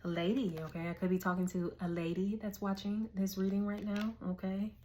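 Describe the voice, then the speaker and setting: A woman's voice: medium pitch, level tone, fairly quick, mellow, a little hiss or hum. A woman, giving a casual, slangy tarot reading and thinking aloud as she goes. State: hoarse. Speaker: tarot reader.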